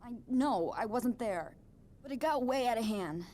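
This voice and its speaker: A fairly high-pitched actress as a young woman in a thriller game, edgy and defensive. Her delivery is naturalistic and hesitant, with a stubborn streak under the nerves. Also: animated, moderate pace, mellow, natural voice. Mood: nervous, evasive. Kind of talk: naturalistic dramatic game acting.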